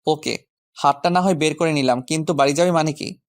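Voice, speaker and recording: A man's voice: on the high side, a little fast, close to an everyday voice. Man acting teasing, grumpy young boyfriend. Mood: mock-annoyed. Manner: teasing, mock-annoyed. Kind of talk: romantic audio story acting.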